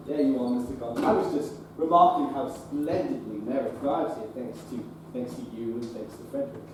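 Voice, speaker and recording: A young actor playing a shifty male swindler who flatters and stammers evasively on a stage. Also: medium pitch, brisk, natural voice. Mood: wheedling, evasive. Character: smooth-talking male swindler, shifty and wheedling. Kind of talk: stage play acting. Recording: echoey stage from far off, noisy.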